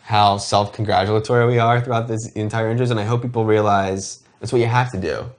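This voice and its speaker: Lower-pitched man chatting casually. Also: fairly even intonation, fast. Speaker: show co-host. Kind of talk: casual group commentary on the show's own intros.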